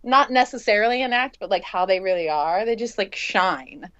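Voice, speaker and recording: A woman with a mid-pitched voice talks casually in a group discussion. Her manner is informal and conversational. The recording is slightly dull and muffled. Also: animated, moderate pace, fairly bright tone. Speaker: band member.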